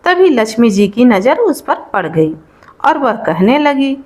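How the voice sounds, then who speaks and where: A woman's voice: on the high side, big pitch swings, measured pace, a little mellow. A woman narrating a devotional folk tale in an informal oral storytelling style, explaining and rephrasing as she goes.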